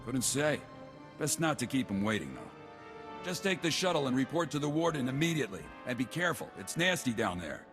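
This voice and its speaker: An actor playing a gruff, businesslike prison station officer in a video game, blunt and matter-of-fact with a cautioning manner. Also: male voice, medium pitch, big pitch swings, a little fast, music in the background. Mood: blunt, wary. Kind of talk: scripted video game dialogue.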